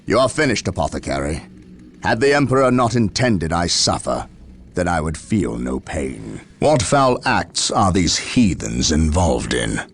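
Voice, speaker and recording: A man playing a stern, burdened space marine captain in a video game, speaking gravely and formally. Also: sits low, big pitch swings, unhurried, slight background noise, heavily acted. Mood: commanding. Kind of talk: dramatic video game acting.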